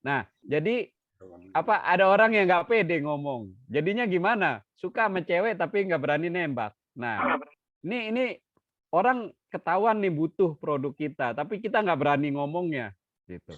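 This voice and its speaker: A man giving an online seminar talk as a trainer, lively and joking, sometimes acting out quoted dialogue. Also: fairly high pitch, normal speed, no echo. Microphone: video call. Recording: dry, dull lo-fi video call audio.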